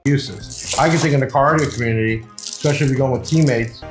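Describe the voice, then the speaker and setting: A man's voice: mid-range voice, fast. A man hosting a casual unboxing review, talking informally in a rambling, enthusiastic sales patter. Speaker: video host and shop seller presenting products. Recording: echoey room with music behind.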